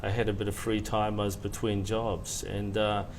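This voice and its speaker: Lowish male voice, middle-aged, mixed Fiji-New Zealand accent with South African sound, interview guest, casual, reflective, noisy recording. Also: monotone, moderate pace.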